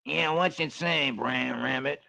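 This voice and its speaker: A man's voice: medium pitch, a little slow, vocal fry, bright, forceful tone, heavily acted. Man doing a drawling, hesitant scheming buzzard. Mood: pleading. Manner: drawling, hesitant with uhs and ums. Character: scheming, dopey male buzzard. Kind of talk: 1940s radio comic-strip reading. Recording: dull, noisy vintage radio recording.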